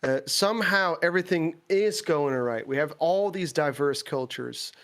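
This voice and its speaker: A man's voice: fairly high pitch, moderate pace, a bit bright, clear, resonant tone. A young man from the Netherlands chatting casually, reflective and hesitant, in English with an American-sounding Dutch accent. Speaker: podcast guest, gaming content creator. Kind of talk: casual chat.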